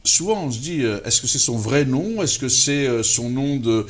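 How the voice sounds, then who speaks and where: A man's voice: mid-range voice, animated, normal speed. A man hosting an interview show, asking rambling questions with stammering repeats. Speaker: host.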